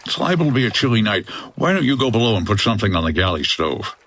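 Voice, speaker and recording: An actor playing a gruff, wry 1950s boat skipper with a deadpan manner in a comedy adventure audio drama. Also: male voice, on the low side, quick pace, no echo, full character voice. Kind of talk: old-time-radio-style comedy adventure acting.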